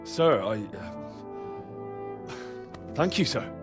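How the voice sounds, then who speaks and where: An actor playing a humble, eager young squire in a video game, slightly husky and earnest in his deference. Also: very high-pitched, wildly swooping pitch, drawn-out words, music in the background, full character voice. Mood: grateful, flustered. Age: young adult. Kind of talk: video game cutscene acting.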